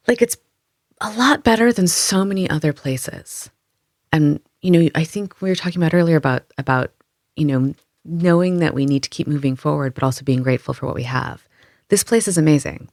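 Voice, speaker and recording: A woman who runs history tours in the city, answering interview questions in an animated way, thinking aloud with many fillers in the local language. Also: low voice, normal speed, dry recording, studio quality. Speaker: tour guide and storyteller. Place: Amsterdam.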